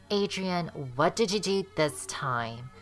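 A woman's voice: lowish voice, expressive, takes it slowly, faint music behind. A woman voicing a brash, commanding young superheroine in a fan cartoon comic dub. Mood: concerned.

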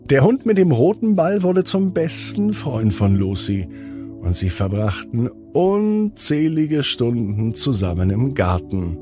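A middle-aged man telling children a bedtime story as a podcast host, with expressive, drawn-out emphasis. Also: medium pitch, music in the background.

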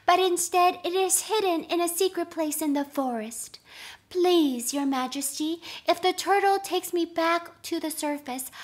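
A woman's voice: sits high, pitched above the performer's own voice. A woman voicing a clever, cheeky male rabbit trickster with a polite, wily, theatrical manner.

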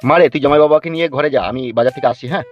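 A man plays a jovial older village father-in-law from a comedy cartoon. His folksy, indulgent talk races along at a slightly high pitch for a man, on a dull, lo-fi recording. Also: very fast, faint music behind, lightly acted.

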